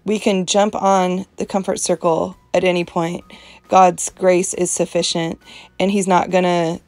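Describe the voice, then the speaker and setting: A woman's voice: lowish voice, flat delivery, unhurried, a little mellow, no room sound. A woman hosting an art journaling video, chatting earnestly and emphatically about faith, with a strong voice and frequent laughs. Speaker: host of an art journaling channel. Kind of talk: casual devotional chat while art journaling.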